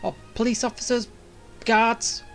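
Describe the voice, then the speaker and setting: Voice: mid-range voice, flat delivery, measured pace, vocal fry, faint music behind. A game streamer giving casual reaction commentary, with joking, muttered asides. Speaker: streamer playing a video game.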